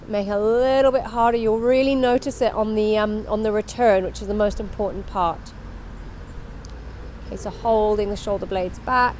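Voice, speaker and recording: A woman's voice: a little high, darkish tone, slight background noise. A woman instructor talking through an exercise demonstration in an instructional way, repeating her cues as she goes.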